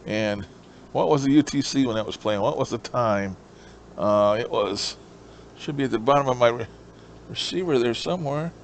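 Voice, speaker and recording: A man's voice: mid-range voice, normal speed, a little hiss or hum. A man chatting casually in a folksy, rambling way as he explains his hobby. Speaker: shortwave radio hobbyist presenter.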